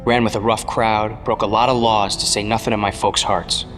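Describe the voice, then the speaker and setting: An actor playing an earnest, insistent young streetwise protégé to an older hero in an audio drama. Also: male voice, on the low side, flat delivery, normal speed, fairly bright tone, soft background music, close to an everyday voice. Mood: earnest confession. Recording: long cavernous reverb.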